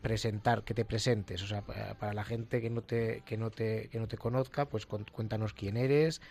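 A man's voice: fairly low pitch, fairly even intonation, moderate pace, gravelly, a little mellow, faint music behind. A young man with a Castilian Spanish accent hosting a conversational radio interview, effusive and often repeating words as he goes. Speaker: radio host, seminarian. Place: radio studio. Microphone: studio microphone.

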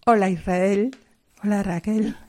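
Woman host, mellow voice, formal devout hosting, measured delivery. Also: mid-range voice, fairly even intonation.

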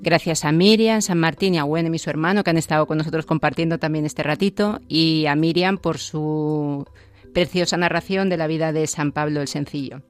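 A woman hosting a religious radio program, reading announcements and a closing in a calm, measured, devotional way. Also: deep voice, soft background music. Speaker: radio host.

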